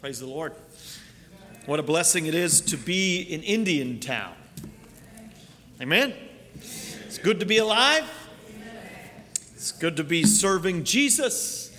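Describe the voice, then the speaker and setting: A middle-aged man raised in Canada preaches from a church pulpit, earnest and full of fillers, his voice a touch higher than most men's. A long reverb from the sanctuary hangs behind his words. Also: lively intonation, takes it slowly, long pauses, pushed and bright. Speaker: missionary preacher. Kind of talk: sermon introduction.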